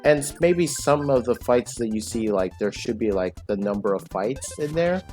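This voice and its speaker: A man giving casual, rambling commentary over his own gameplay, full of fillers and restarts, with a loud voice. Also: mid-range voice, animated, moderate pace. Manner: rambling, filler-heavy, self-correcting. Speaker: gaming channel host. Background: game music.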